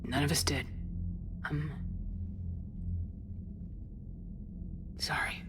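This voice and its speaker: A woman's voice: low-pitched, little pitch movement, unhurried, in a whisper. A woman playing a weary, resolute military commander in a science fiction game, with a terse, commanding delivery. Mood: grim, defeated. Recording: game music behind the voice.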